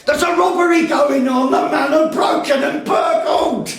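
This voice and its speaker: An actor playing a panicked servant shouting an alarm about a robbery in a radio drama. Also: male voice, very high-pitched, unhurried, vocal fry, a faint crowd, exaggerated voice. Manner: shouting, frantic. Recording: distant and echoey.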